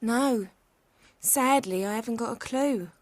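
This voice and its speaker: A woman as a deferential chambermaid, rustic speech, highish voice, dry close sound, fantasy game. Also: a little slow, dry recording, natural voice. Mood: earnest. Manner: rustic, nonstandard grammar, respectful. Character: chatty, credulous, deferential servant girl. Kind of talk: video game character acting.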